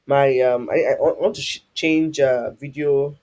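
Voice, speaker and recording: A man with a husky voice, teaching a live online class in a rambling way, full of restarts and fillers. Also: medium pitch, steady tone, measured pace, darkish tone. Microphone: online call.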